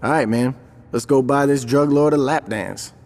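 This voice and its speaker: A wary gang lieutenant, voiced by a man in a video game, cool and measured in a middle voice. Clear noise and car sounds run behind him. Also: mid-range voice, close to an everyday voice. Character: cautious male gang lieutenant. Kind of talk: video game cutscene acting.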